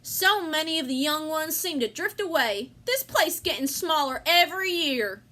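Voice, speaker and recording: A woman's voice: high-pitched, expressive, normal speed, pushed and bright. A woman with an American accent playing a chatty, folksy rural farm wife in an amateur play. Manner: folksy, exclamatory country talk. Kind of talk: amateur stage-play acting.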